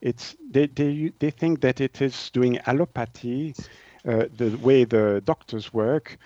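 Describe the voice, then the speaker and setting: A man from Switzerland with a French-speaking Swiss accent, explaining haltingly as an interview guest, searching for words. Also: mid-range voice, mellow, dry recording, lo-fi sound.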